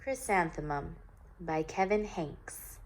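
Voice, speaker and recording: A woman teacher reading a children's storybook aloud, deliberate and emphatic. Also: fairly low pitch, slight background noise. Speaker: teacher reading to young pupils. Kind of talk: expressive children's storybook narration.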